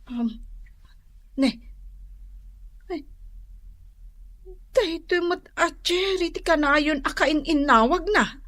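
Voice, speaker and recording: Radio drama casting: suspicious young Ilocano Filipino wife, high pitched, recorded close and dry. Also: female voice, slow, pauses often, fairly bright tone, dry recording. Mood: hurt, suspicious. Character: suspicious young wife, niece scheming with her aunt.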